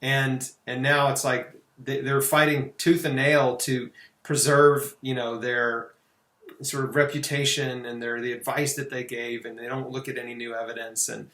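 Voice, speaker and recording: A middle-aged man speaks in a medium-pitched voice with noticeable room echo. He hosts a casual conversation, explaining things informally in an anecdotal, loosely phrased way. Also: level tone, normal speed, fairly bright tone. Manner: informal, anecdotal, punctuated with "you know". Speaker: interview host. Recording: roomy, echoing sound.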